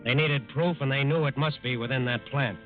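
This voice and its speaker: A man narrating a 1940s radio crime drama in a measured, dramatic, grave manner. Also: mid-range voice, natural voice. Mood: grave, suspenseful. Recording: narrow-band old radio recording, music behind.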